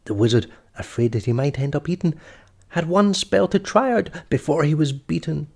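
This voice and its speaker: A man's voice: on the high side, animated, moderate pace, creaky. A man reading a children's story aloud expressively, as storyteller and host.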